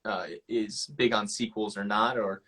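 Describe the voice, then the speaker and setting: A young adult man hosting a casual live chat, relaxed and affirming as he talks. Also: fairly low pitch, a little slow. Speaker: host.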